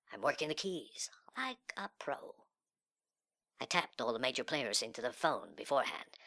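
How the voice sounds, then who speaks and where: A man performing a dramatic monologue as a sullen, cocky, nihilistic young slacker, with breathy, slangy, sneering delivery that swings between muttering and outbursts. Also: mid-range voice, pushed and bright, exaggerated voice. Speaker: reader of a literary monologue. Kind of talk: dramatic first-person monologue in slangy speech.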